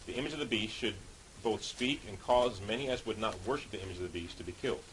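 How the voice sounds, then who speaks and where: A man's voice: lowish voice, fast talker, low-quality recording. A man preaching as a street preacher, reading Bible passages and explaining them in an informal teaching way. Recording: hissy background noise.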